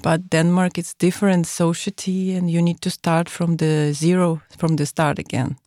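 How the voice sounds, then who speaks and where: A woman from Ukraine, a guest on a podcast interview, speaking halting with many pauses and fillers. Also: on the low side, level tone, mellow, dry recording, clean studio sound. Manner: halting non-native English with many fillers. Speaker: guest, association chairwoman.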